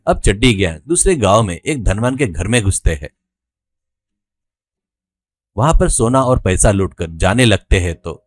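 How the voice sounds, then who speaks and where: A man narrating a scripted story as a storyteller. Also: on the low side. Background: music.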